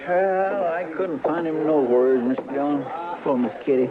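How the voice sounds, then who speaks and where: An actor playing a loyal, simple deputy in a radio western, deferential, earnest and hesitant, with a rural American drawl. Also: male voice, a little high, moderate pace, a crowd in the background, natural voice. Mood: flustered. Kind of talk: 1950s radio western acting. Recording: old radio recording, narrow band, murmur behind.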